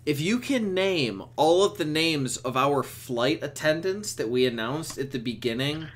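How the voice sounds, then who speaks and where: A young man chatting and telling stories casually on a voice chat, in an animated, exasperated, sweary way. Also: on the high side, unhurried. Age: young adult. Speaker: streamer on a group voice chat. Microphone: computer mic on a voice chat. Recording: noisy voice chat with clicks.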